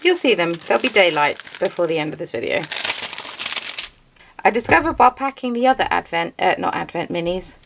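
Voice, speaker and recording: Low, gravelly woman chatting casually. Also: lively intonation, a little fast, slight background noise. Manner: rambling, self-deprecating chatter. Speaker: yarn dyer and small business owner. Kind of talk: casual chatty vlog voice-over. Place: home craft studio.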